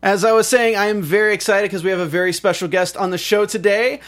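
A man's voice: sits high, fairly even intonation, quick pace, a bit bright. A man hosting a podcast interview, talking casually with many fillers and restarts. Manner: chatty, with frequent fillers and restarts. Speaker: podcast host.

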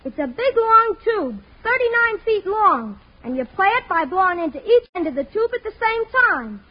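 A performer playing a cheeky, slow-witted little boy with deadpan back-talk in a 1940s radio comedy. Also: very high-pitched. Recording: noisy, muffled 1940s radio recording.

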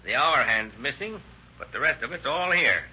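Casting: hard-driving detective lieutenant, mid-range sharp voice, slangy and sardonic, dull vintage radio recording. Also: male voice, medium pitch, lively intonation, moderate pace, a little hiss or hum. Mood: slyly casual. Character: shrewd, needling, hard-driving male police lieutenant interrogator. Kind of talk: 1930s-1940s radio crime drama acting.

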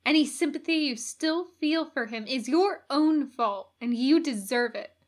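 A woman's voice: sits high, measured pace. A woman voicing a heartbroken male angel who scolds himself resolutely in an audiobook reading. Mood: bitter self-reproach.

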